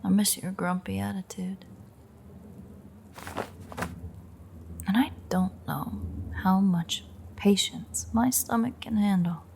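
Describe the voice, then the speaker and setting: A woman playing a smug, predatory female vampire who teases and menaces her captive in an intimate, unhurried audio roleplay monologue. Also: mid-range voice, creaky, darkish tone, slight background noise. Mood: impatient, musing. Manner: teasing, sardonic, unhurried, menacing.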